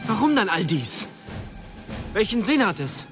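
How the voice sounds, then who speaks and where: An actor playing a defiant, anguished young fantasy hero in a radio drama, talking in an agitated, intense way. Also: male voice, high voice, big pitch swings, unhurried. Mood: bewildered, indignant. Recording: music behind the voice.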